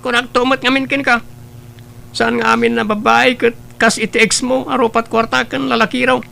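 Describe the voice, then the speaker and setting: A man puts on a frank, teasing male friend in an Ilocano radio drama. The voice sits very high for a man. He speaks with an Ilocano accent and sounds opinionated, handing out advice. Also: level tone, moderate pace, gravelly, pushed and bright, heavily acted. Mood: teasing, advising. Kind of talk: Ilocano radio-style family drama acting.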